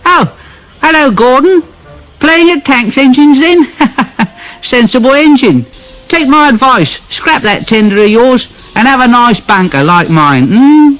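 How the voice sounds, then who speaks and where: A cheeky little male tank engine, chirpy and teasing, as a man puts him on. The voice is pitched high and leaps around a lot. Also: expressive, measured pace, a bit bright, faint music behind, heavily acted, rough recording.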